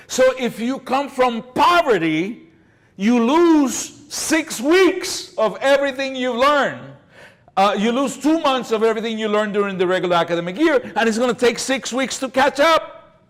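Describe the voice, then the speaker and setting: A man's voice: very high voice, animated, measured pace, a bit bright. An older man lecturing at a public seminar, speaking emphatically and repeating words to drive points home. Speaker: guest speaker, educator. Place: lecture hall, at a podium. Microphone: podium microphone.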